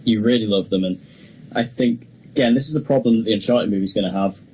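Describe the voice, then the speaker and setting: A man's voice: lowish voice, fast talker, a little mellow, slight background noise. A young man co-hosting a podcast, chatting casually and enthusiastically with plenty of opinions. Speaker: podcast co-host. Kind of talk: casual film chat.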